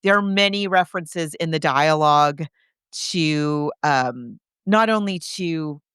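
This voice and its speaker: Woman, lowish smooth voice, podcast co-host, casual film recap, conversational storytelling, dry sound. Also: a little slow, fairly bright tone, no room sound. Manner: conversational storytelling, acting out characters' reactions.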